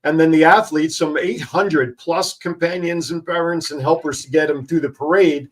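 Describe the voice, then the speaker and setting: Male livestream host, slightly high-pitched, informal and talkative, doing casual live commentary. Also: steady tone, normal speed.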